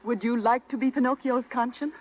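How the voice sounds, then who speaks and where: An actress playing a serene, gracious, regal fairy with formal, measured speech in a 1930s radio drama. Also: female voice, fairly high pitch, steady tone, lightly acted. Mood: solemn, kindly. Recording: dull vintage radio broadcast with music.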